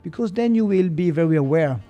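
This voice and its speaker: A man with a Swiss German accent, explaining ideas on a podcast in a slow, reflective way and sometimes searching for English words. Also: high-pitched, lively intonation, warm tone, soft background music. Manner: slow, thoughtful, gets stuck on English words. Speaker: podcast guest, martial arts teacher.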